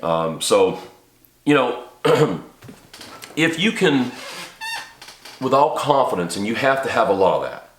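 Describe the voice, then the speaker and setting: A man's voice: mid-range voice, sing-song, slow pace, long pauses, reverberant, a little hiss or hum. A man hosting his own channel, talking casually to camera about running a small business, slightly congested from a cold, rambling with many ums and restarts. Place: home office.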